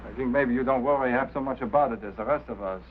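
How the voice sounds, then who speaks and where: An actor playing a stubborn, put-upon road crew foreman with a French Canadian accent and halting phrasing, in mid-century screen drama style. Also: mid-range voice, narrow, tinny audio, lightly acted. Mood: uneasy, wondering. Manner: halting, non-native phrasing. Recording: thin, noisy old film soundtrack.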